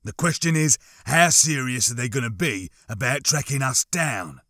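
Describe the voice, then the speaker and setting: A man's voice: medium pitch, animated, normal speed, bright, forceful tone, dry recording, studio quality. A man voicing a level-headed soldier in an audiobook, grave, heavy and serious. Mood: grim.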